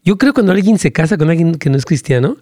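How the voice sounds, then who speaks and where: A male pastor preaching and praying during a live broadcast. He prays in fervent, rhythmic lines with emphatic repetitions, and his pitch climbs as he argues a point. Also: quick pace, dry recording, clean studio sound. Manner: animated, rising pitch when arguing a point. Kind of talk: live-streamed sermon and prayer with Q&A.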